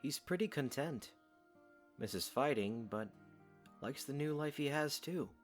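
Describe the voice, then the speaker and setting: A man's voice: medium pitch, lively intonation, a little slow, soft background music, natural voice. A man playing an earnest, protective young warrior in an audio drama, plain-spoken and given to short sentences. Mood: matter-of-fact.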